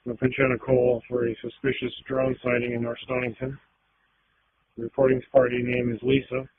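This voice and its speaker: A tinny police radio carries a man's mid-pitched voice. He is an emergency dispatcher relaying a call to a unit, with static-like noise on the line. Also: little pitch movement, moderate pace. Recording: noisy narrow-band police radio.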